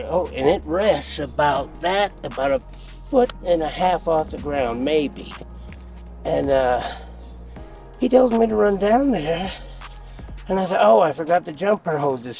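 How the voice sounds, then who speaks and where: A man telling a rambling, animated story in a street interview. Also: a little high, vocal fry, recorded from a distance.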